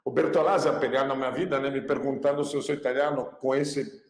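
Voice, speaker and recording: A man's voice: mid-range voice, fast. A man with a Pernambuco accent, a chef talking casually to camera about selling dishes, stressing points by repeating phrases. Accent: Pernambuco Brazilian Portuguese. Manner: expressive, repeats phrases for emphasis. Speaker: chef giving cooking business advice. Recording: echoey room.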